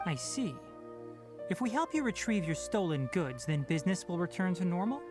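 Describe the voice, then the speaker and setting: A man's voice: high voice, big pitch swings. An actor voicing a stern, no-nonsense young fox-eared male forest watcher in a video game. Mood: questioning, stern. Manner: brisk, no-nonsense, blunt. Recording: clear game music behind the voice.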